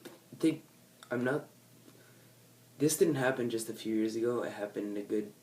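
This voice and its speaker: A man's voice: mid-range voice, normal speed, a little mellow, recorded from a distance. A teenage guy from California with a nasal voice, chatting to camera in a casual, unplanned, rambling way that keeps correcting itself. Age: teens. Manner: rambling, self-correcting, laid-back. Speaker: vlogger. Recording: faint background ambience, set back from the mic.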